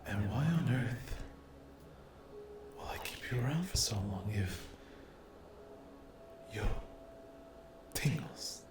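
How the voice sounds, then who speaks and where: A man voicing a sadistic, possessive sleep paralysis demon in an ASMR horror roleplay, taunting and menacing in breathy whispers and gravelly growls. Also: medium pitch, lively intonation, slow, pauses often, noisy background. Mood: mocking, menacing.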